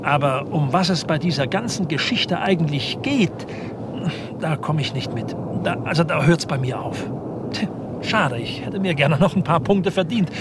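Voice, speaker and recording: A man's voice: on the high side, animated, moderate pace, close to an everyday voice. An actor playing an eager, deferential yet grumbling detective's assistant in a 1960s German radio crime drama, often hesitating as he thinks aloud. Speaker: detective's subordinate. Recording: noisy old radio play recording.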